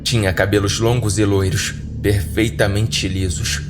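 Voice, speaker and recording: A man's voice: lowish voice, level tone, measured pace. A man with a Brazilian accent narrating a scripted horror story dramatically for a podcast. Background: background music.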